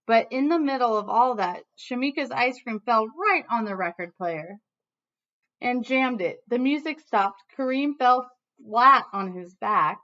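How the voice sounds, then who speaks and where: A woman teacher reading a storybook aloud to students in an animated, emphatic way, with casual asides. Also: on the high side, unhurried, muffled recording.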